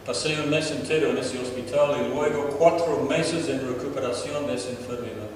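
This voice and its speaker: An older man, a native English speaker from Australia, gives a personal testimony as a guest preacher in a second language, deliberately and with searches for words, pausing for an interpreter. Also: medium pitch. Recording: echoey hall, distant mic, noisy.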